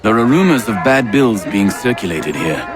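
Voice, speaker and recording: An actor playing an earnest, confident investigator in a video game, with a businesslike manner. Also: male voice, on the low side, sing-song, moderate pace, over music. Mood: resolute. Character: earnest, purposeful male investigator and ally. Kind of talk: video game cutscene acting.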